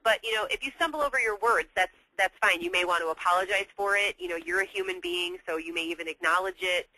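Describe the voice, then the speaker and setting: Very bright, sharp-voiced American woman. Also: fairly low pitch, quick pace, low-quality recording. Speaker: co-presenter, sales coach. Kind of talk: conversational advice.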